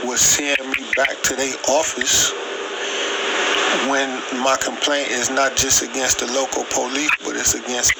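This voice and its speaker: A man's voice: mid-range voice, flat delivery, unhurried, creaky. A man calling in a complaint by phone, recounting incidents with repetition and emphatic wording. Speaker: caller making a complaint. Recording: noisy, radio-like phone call. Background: loud background noise.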